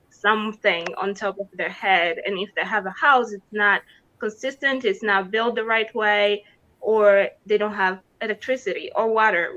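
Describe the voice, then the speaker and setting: A woman's voice: mid-range voice, pushed and bright. A woman from the Congo, a pageant contestant, speaking earnestly and passionately about her goals. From Democratic Republic of the Congo. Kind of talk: interview answers over video call. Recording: dull lo-fi call audio.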